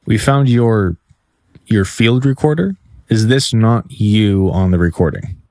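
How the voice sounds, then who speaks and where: A man's voice: fairly low pitch, measured pace, a little mellow, no room sound, studio quality. A man playing a stern, weary police officer questioning a suspect in an audio drama, firm and terse. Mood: stern, impatient.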